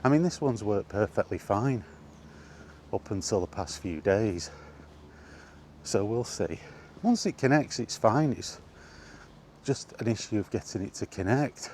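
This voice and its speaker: A man's voice: lowish voice, animated, unhurried, darkish tone, a little hiss or hum. A man vlogging casually about gaming in a rambling, plain-spoken way while out walking, recorded on a small wireless mic.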